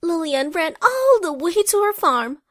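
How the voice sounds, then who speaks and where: A woman's voice: very high-pitched, very animated, measured pace, a bit bright, dry recording, clean studio sound. A woman narrating a comic scripted story with a wry touch.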